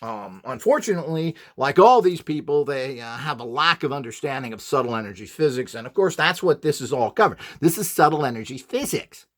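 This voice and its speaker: A man's voice: mid-range voice, expressive, brisk, hardly any pauses. A man giving an informal, rambling lecture as an instructor, in a blunt, opinionated way with sarcastic asides. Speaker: instructor presenting a build tutorial.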